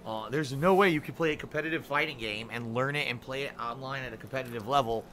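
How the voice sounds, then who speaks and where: Bright-voiced middle-aged male streamer, rambling. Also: medium pitch, quick pace, slight background noise. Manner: rambling, complaining, many fillers. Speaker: game streamer. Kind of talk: casual live-stream monologue.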